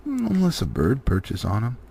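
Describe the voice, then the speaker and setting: A man's voice: medium pitch, wildly swooping pitch, moderate pace, vocal fry, mellow, slightly rough. A man narrating a storybook expressively as an audiobook reader.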